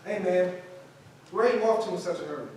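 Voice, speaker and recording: An actor playing an earnest acting teacher who mentors young performers in a staged play reading. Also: male voice, high voice, fast talker, darkish tone, lightly acted. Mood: urgent. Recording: echoey hall, distant mic, faint noise.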